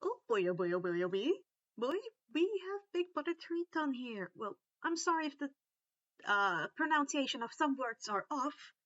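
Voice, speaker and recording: Non-native english woman reading fanfic, high lively voice, informal, dry but slightly muffled. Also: moderate pace, no echo. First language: not English. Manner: expressive, informal. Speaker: fan fiction reader, host. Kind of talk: expressive amateur story narration, chatty outro.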